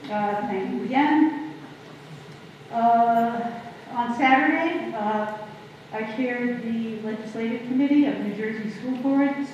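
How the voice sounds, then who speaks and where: A woman board member giving committee reports at a public meeting, steady with some fillers. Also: on the high side, slow pace, lo-fi sound, slight background noise. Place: large public meeting room. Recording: echoey hall from far off.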